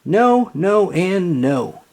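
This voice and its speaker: A trusting, hapless young boy from a children's storybook, voiced by a reader. He is naive and excitable, with a slightly husky voice that jumps in pitch. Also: very slow, exaggerated voice. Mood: refusing.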